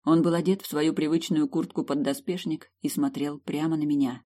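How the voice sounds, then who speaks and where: An audiobook narrator reading first-person fantasy fiction in an expressive storytelling style. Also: medium pitch, normal speed.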